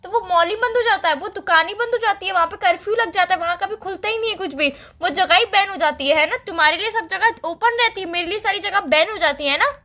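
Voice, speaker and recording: A woman's voice: extremely high pitch, quick pace, few pauses, sharp tone. A young woman playing a coaxing, whiny girlfriend who nags and complains in a casual phone-call skit. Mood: accusing, hurt. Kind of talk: casual scripted couple phone call in Hindi.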